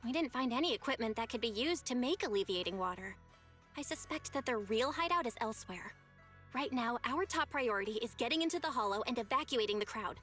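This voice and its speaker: High-voiced, composed investigator. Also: female voice, expressive, fairly bright tone, faint music behind. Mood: serious, focused. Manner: measured, serious, matter-of-fact. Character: composed, dutiful young female investigator. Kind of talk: video game voice acting.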